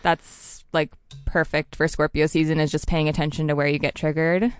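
A woman's voice: low voice, little pitch movement, normal speed, clean tone. A young adult woman guest talking about astrology in a loose, rambling way, full of "like" and "um.". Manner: rambling, many likes and ums. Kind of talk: casual interview chat.

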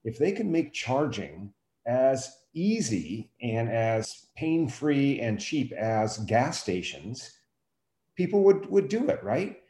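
A man's voice: mid-range voice, big pitch swings, slow pace, mellow. A man giving his opinions in a casual discussion, conversational and emphatic. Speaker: podcast host, teacher. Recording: echoey room.

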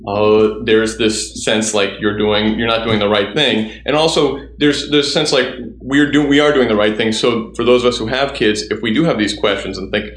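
A man in his forties chatting in a teasing, animated way during a casual group conversation. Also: lowish voice, normal speed, few pauses. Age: 40s. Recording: echoey room with faint noise.

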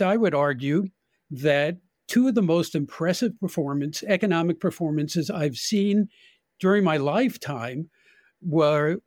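An older man with an American accent giving opinionated, deliberate political commentary in conversation as a podcast guest. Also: fairly high pitch, a little mellow, dry recording, studio quality.

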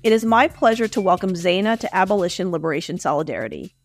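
A woman's voice: on the low side, lively intonation, fast talker, soft background music. Emphatic woman podcast host. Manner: forceful, emphatic, repeats points for punch. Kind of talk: impassioned opinion monologue and interview.

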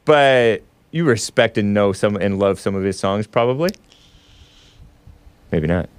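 A nasal-voiced man hosts a loose, opinionated talk show, rambling from one point to the next and laughing often. His voice is smooth and clean, recorded dry and close. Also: lowish voice, expressive, a little slow, dry recording. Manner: rambling, laughs often, chats with the live chat. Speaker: show host. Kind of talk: casual opinionated livestream talk.

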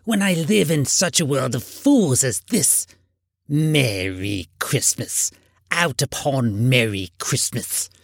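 Storybook miser, elderly male, played by a male narrator: crabby, scornful, stern, animated delivery. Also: medium pitch, takes it slowly, dry recording, clean studio sound, heavily acted. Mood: indignant. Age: older. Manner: indignant, stern, dismissive. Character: crabby, miserly old uncle, sour and scornful. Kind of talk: storybook character reading.

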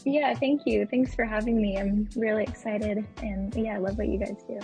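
A woman's voice: medium pitch, steady tone, normal speed, gravelly, dark tone, soft background music. A young woman from Arizona, a graphic designer guest, talking in a friendly way full of "like" and "um". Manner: friendly, lots of "like" and "um". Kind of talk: casual interview.

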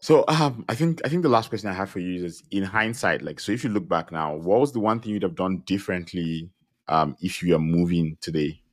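A man's voice: sits low, brisk. A man hosting a podcast, putting rambling, restarting questions to his guest. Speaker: podcast host. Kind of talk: interviewing a guest.

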